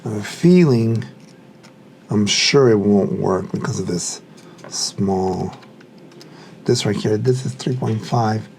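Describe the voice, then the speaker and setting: Low-voiced, slow-talking man muttering through a task. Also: creaky, darkish tone, a little hiss or hum. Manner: muttering to himself while working with his hands. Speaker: hobbyist reviewer. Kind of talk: casual hands-on unboxing commentary.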